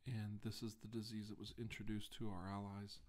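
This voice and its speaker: A man in his forties doing a soft ASMR role-play of a formal deposition, speaking haltingly and formally, with hesitant pauses. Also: on the low side, little pitch movement. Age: 40s. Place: small indoor room, seated at a desk.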